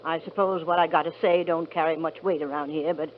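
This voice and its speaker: A woman's voice: low-pitched, fairly even intonation, brisk, lightly acted. An actress playing a fretful old ranch mother. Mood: worried. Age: older. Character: worried older ranch mother. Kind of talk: 1950s radio drama acting. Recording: muffled vintage radio recording with faint hiss.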